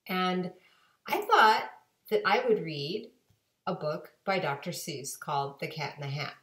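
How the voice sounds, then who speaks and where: A woman in her forties reading a storybook aloud to children with expressive, deliberate emphasis. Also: fairly low pitch. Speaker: guest storybook reader. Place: home kitchen-dining room. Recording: echoey home room, webcam microphone.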